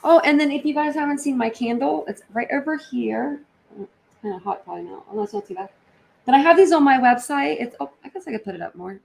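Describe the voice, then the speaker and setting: A woman's voice: high-pitched, lively intonation, fast talker, gravelly. A woman hosting a livestream, chatting casually with viewers in a rambling, informal way full of slang. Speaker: livestream host. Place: indoors in an apartment.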